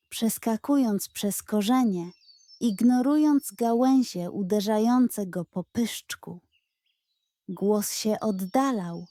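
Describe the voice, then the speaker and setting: A woman's voice: mid-range voice, warm tone, dry recording. A woman reading a scripted children's story as a narrator.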